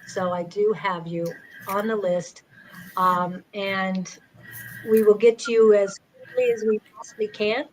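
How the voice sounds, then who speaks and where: A woman's voice: mid-range voice, fairly even intonation, unhurried, poor audio. A woman co-hosting a live psychic reading stream, calling names and keeping order. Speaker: co-host.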